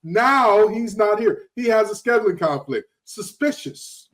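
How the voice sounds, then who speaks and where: A man's voice: high-pitched, expressive, unhurried, fairly bright tone. A man hosting a sports podcast, opening with wry, teasing remarks. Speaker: podcast host. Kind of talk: casual sports talk.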